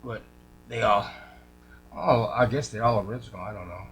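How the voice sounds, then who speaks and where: Guy at a workbench talking thru a repair, folksy n casual, bright voice, some hum behind him. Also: on the low side, moderate pace, low-quality recording. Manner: informal, folksy, thinking aloud. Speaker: radio repair hobbyist showing a restoration. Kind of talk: casual hands-on workshop chat. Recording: clear background noise, electrical hum.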